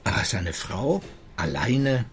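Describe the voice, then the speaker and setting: An actor playing a brisk, sceptical, commanding male lead investigator in a radio drama. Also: fairly low pitch, big pitch swings, a little hiss or hum.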